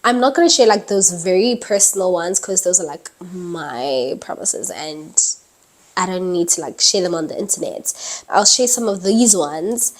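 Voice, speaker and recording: A woman's voice: fairly low pitch, expressive, moderate pace. A woman in her early twenties talking casually to camera in a vlog, chatty, with lots of "um" and "like". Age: early 20s. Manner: chatty, many fillers like "um" and "like", laughs lightly. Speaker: vlogger. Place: indoors at night, dim lighting.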